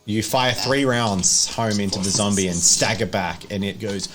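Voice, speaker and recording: A man's voice: on the low side, moderate pace, over loud music. A man running a horror tabletop roleplay as game master, switching between descriptive narration and casual talk about rules.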